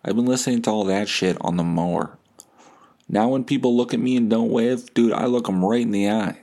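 A man's voice: lowish voice, a little fast, warm tone. A young man hosting a casual, rambling solo podcast in a profane, slangy, self-deprecating way. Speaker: podcast host.

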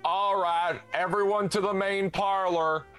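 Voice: medium pitch, fairly even intonation, unhurried, fairly bright tone, soft background music, natural voice. A performer playing a goofy, naive male police sidekick in a comedic radio play, earnest and eager.

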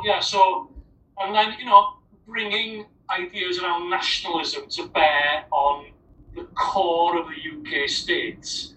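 A Welsh man answers on a discussion panel with a bright, forceful voice. He rambles with restarts and fillers. He is recorded in an echoey room some way from the mic. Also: sits high, a little hiss or hum. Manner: discursive, many restarts and "you know". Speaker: academic panelist. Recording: roomy, distant mic.

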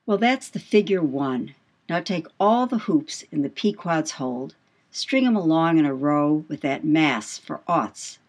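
A woman's voice: low voice, expressive, a little slow, exaggerated voice. A woman playing a brash, boastful male sailor in an audiobook, with salty, swaggering speech and emphatic questions. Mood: scornful, insistent. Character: brash, boastful male whaling mate telling devil yarns. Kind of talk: dramatised audiobook dialogue.

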